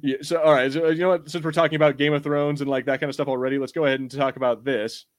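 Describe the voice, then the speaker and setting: A man's voice: a little high, level tone, very fast. Irreverent, sweary man chatting casually. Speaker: podcast host. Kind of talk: casual chat about fantasy film and TV.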